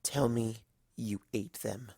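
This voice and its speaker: A man's voice: on the low side, takes it slowly, gravelly, warm tone, husky, no echo, studio quality, full character voice. A man playing a fierce, hungry twelve-year-old filly, a hardened elder sister, with a cold and snarling manner in a story reading.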